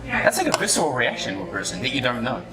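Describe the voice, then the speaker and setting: Blunt, animated man bantering in a busy room. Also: medium pitch, fast. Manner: blunt, teasing, swears freely. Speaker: co-host. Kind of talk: casual opinionated banter. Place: bakery, among other people. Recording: busy public room with chatter.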